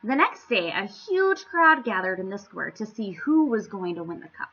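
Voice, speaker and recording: Animated, bright-voiced woman reading to children. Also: medium pitch, normal speed, husky, muffled recording. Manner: theatrical, playful emphasis addressed to young listeners. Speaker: children's story-time reader. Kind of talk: expressive storybook read-aloud.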